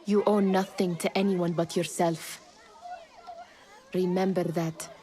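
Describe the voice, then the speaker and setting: A woman's voice: lowish voice, level tone, slow, warm tone, some chatter behind. A woman playing a proud, sardonic young thief in a video game, speaking in a pointed, scolding manner. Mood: reproachful. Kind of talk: dramatic video game acting.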